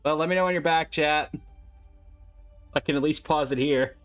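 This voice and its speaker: A man streaming a game who reacts excitably and talks to his chat. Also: on the high side, normal speed, faint music behind. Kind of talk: casual live-stream reaction.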